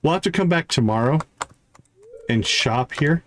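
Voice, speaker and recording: A man's voice: fairly high pitch, animated, moderate pace, a little hiss or hum. A man giving casual live gameplay commentary, thinking aloud as he counts off items and talks through his tasks. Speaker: live streamer, gaming host.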